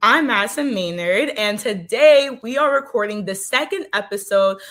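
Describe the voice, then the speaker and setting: A woman's voice: medium pitch, animated, moderate pace, a bit bright. A young woman hosting a podcast, with an upbeat, emphatic delivery. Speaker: podcast host. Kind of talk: scripted podcast intro and hosting.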